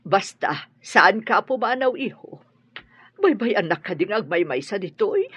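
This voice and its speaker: A woman's voice: mid-range voice, animated, a little slow, fairly bright tone, slightly rough, dry recording, full character voice. A woman acting as a worried elderly grandmother and mother in a radio drama, pleading and anxious. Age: older. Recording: dull lo-fi audio.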